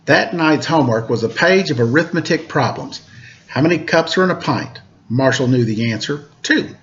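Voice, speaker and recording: A man's voice: medium pitch, expressive, moderate pace, a bit bright, dull audio, slight background noise. A man reading a storybook aloud like a teacher, with casual asides.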